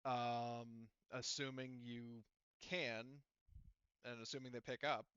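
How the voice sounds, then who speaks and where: Man, game master, crisp clear voice, informal, dry slightly dull recording, tabletop roleplaying session. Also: medium pitch, level tone, takes it slowly, fairly bright tone, no echo.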